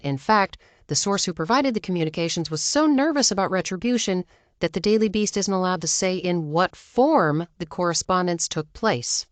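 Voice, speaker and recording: A woman's voice: fairly low pitch, no room sound. A woman delivering scripted political news narration as a commentator.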